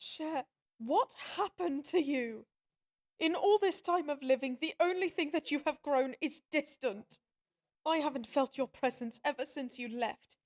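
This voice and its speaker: A woman's voice: sits high, a little slow. A woman playing a sorrowful, forsaken goddess in an audio drama, a plaintive sister who speaks formally. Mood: wounded, sorrowful. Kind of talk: dramatic audio roleplay acting.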